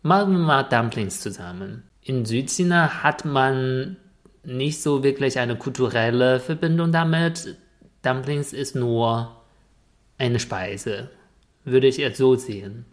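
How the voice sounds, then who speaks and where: A man's voice: mid-range voice, slow pace. A man co-hosting a casual podcast, teasing his partner and patiently explaining things. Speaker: podcast co-host.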